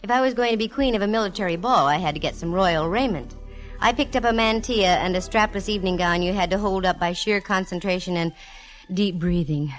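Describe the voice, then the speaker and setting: A low-pitched actress playing a female private eye from golden-age radio, breezy and dryly funny. She is self-assured and wisecracking, with music under the old recording. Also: lightly acted, low-quality recording. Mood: wry, breezy. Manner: wry, breezy, dryly humorous. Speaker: lead actress narrating a detective serial. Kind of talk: 1940s radio detective acting and narration.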